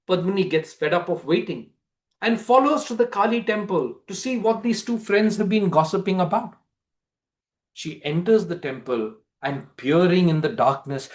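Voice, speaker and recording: A man narrating as a storyteller, giving a theatrical, expressive dramatic reading of a play. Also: high voice, normal speed.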